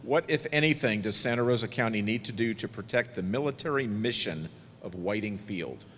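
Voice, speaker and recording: A man from moderating a candidate debate, with a loud, confident, announcer-like delivery. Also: lowish voice, animated, a little fast. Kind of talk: moderating a public event. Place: event hall, PA sound system. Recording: long hall reverb.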